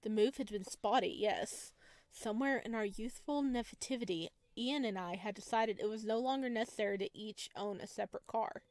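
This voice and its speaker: A woman hosting a gaming video, reading visual novel text aloud and commenting in an expressive, chatty way. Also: mid-range voice, moderate pace, dry recording. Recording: music behind the voice. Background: background music.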